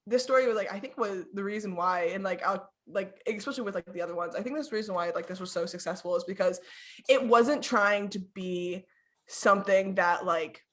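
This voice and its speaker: A woman's voice: medium pitch, fairly quick, a bit bright. A young woman co-hosting a podcast in casual chat, talking in loose runs packed with "like" and laughing often. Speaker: podcast co-host.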